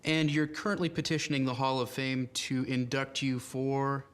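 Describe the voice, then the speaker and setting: A man's voice: mid-range voice, clean tone, close to an everyday voice. A man playing an earnest newsmagazine reporter in a spoof, narrating formally and with a straight face. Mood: deadpan. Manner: formal, measured, deadpan. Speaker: reporter and interviewer. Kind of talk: scripted news narration.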